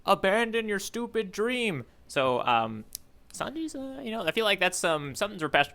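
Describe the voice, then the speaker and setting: Bright-voiced man chatting on a podcast. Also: high voice, very animated, vocal fry. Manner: halting, peppered with "you know". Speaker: podcast co-host recapping a manga chapter. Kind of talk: casual chat, loosely recapping a story.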